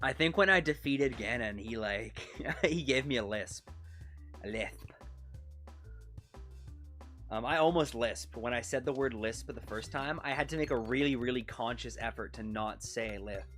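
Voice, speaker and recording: A man with a Canadian accent chatting casually on a game stream, rambling and talking quickly, seated at his desk close to a mic. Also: medium pitch, fairly bright tone, faint music behind. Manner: rambling, quick-talking, self-conscious about his pronunciation. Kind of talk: casual live-stream chat over gameplay. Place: seated at his desk, leaning back in a chair. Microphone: desk mic set close to his mouth.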